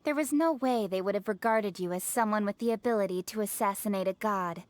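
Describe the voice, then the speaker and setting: A woman voicing a proud, candid young official in a video game, speaking briskly and formally with self-assurance. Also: mid-range voice, no echo, lightly acted. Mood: skeptical, blunt. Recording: music behind the voice.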